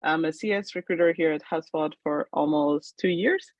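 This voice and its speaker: A woman's voice: deep voice, normal speed, dry recording, highs cut off. A woman from Portugal working as a recruiter, giving a friendly and upbeat webinar introduction over a video call. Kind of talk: webinar presentation.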